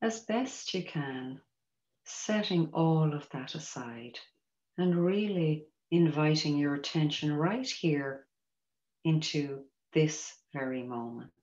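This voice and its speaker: Low-voiced woman guiding a meditation. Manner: gentle, measured, invitational. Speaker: mindfulness teacher guiding a body scan. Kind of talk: guided meditation instruction. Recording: roomy echo, dull muffled sound.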